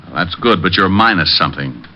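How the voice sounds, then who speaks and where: An actor playing a tough, cynical American private detective in an old radio drama, dry and sardonic. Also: male voice, deep voice, lively intonation, brisk. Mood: sardonic, confident. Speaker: lead actor and first-person narrator. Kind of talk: 1940s-50s radio detective acting. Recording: dull, noisy old radio recording.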